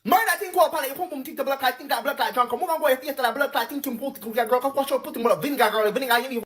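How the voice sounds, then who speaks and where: A man's voice: very high-pitched, racing, fairly bright tone. A man doing a comedy bit to camera, playful and exaggerated, with a jumpy delivery.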